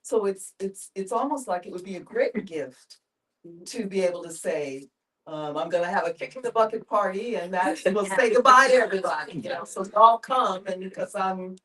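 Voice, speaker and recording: A woman speaking earnestly and haltingly in a reflective group discussion. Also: mid-range voice, expressive, fairly bright tone, distant mic, low-quality recording.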